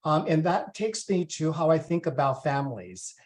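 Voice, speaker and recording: A man in his forties from Taiwan, a university student affairs vice president, talking to families in a relaxed way with many ums and uhs. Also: a little high, normal speed. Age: 40s. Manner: conversational with frequent ums and uhs. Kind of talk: presenting to families at a welcome session.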